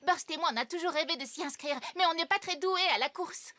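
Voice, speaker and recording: A woman voicing a cheerful, eager young girl in a cartoon dub, bubbly and expressive. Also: extremely high pitch, fast talker. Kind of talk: French cartoon dub acting. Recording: music behind the dialogue. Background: music.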